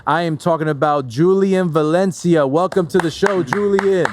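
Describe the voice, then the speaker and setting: A man's voice: fairly high pitch, moderate pace, a little hiss or hum. A man hosting a casual podcast interview, upbeat and encouraging, often echoing his guest's answers. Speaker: podcast host.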